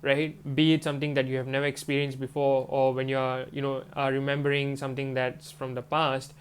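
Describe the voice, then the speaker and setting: A man's voice: mid-range voice, monotone, fairly quick, a little hiss or hum. A man, a manifestation coach, talking casually and rambling with lots of fillers, his voice stuffy from a cold. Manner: rambling, many fillers like "you know" and "um". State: congested from a stuffy nose and swollen throat. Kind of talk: casual talk to camera.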